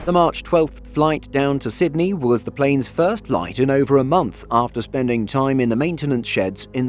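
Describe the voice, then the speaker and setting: A man narrates a scripted news video with a mid-pitched voice. His delivery is measured and factual, in the manner of an aviation news voice-over, with music playing underneath. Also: a little mellow. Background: background music.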